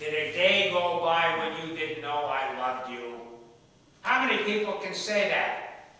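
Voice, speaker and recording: A man's voice: a little high, a little slow, bright, forceful tone. An older man acting on stage as a roguish, stubborn, affectionate elderly father, pleading his case with theatrical persuasion. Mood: earnest pleading. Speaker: stage actor. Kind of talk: stage play dialogue. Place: theatre stage, recorded from the audience area. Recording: echoey theatre hall, distant mic, faint noise.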